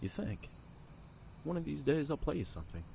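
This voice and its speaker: An actor playing a confident young castle guard in an audio drama, talking in a teasing way. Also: male voice, fairly high pitch, big pitch swings, a little fast, gravelly, noisy background. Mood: easygoing.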